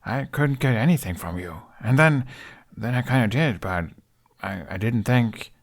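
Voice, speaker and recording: An actor playing an awkward young man, stammering and excitable, with a wry, sarcastic streak. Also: mid-range voice, a little slow, vocal fry. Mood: animated, wry.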